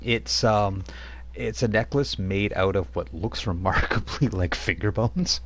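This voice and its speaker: A man running a tabletop game as game master, explaining rules and lore in a relaxed, rambling way. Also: on the low side, a little slow, slight background noise. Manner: explanatory, with frequent fillers and restarts.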